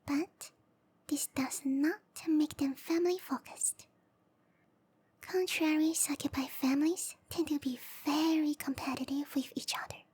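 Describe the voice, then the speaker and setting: High, whispering seductive matchmaker played by a woman. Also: darkish tone. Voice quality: breathy whisper. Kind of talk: whispered ASMR roleplay.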